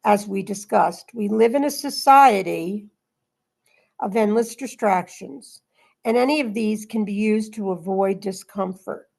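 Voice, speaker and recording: A woman's voice: medium pitch, unhurried, gravelly, darkish tone. A woman hosting a spiritual study gathering, reading aloud and talking informally with the group. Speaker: host reading aloud and leading group discussion.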